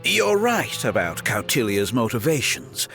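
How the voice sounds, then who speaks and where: Bright, theatrical suave villain. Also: male voice, medium pitch, huge pitch swings, unhurried, creaky, faint music behind, exaggerated voice. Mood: smug. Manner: grandiose, polished, theatrical. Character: suave scheming male villain, theatrical and condescending. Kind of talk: video game voice acting.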